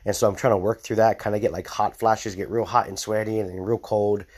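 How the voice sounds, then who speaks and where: Male vlogger, somewhat low voice, congested from a cold, loose rambling delivery. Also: steady tone, brisk, darkish tone, slightly rough. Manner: loose and rambling, many ums and tag questions. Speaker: off-grid homesteading vlogger. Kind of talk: casual video update.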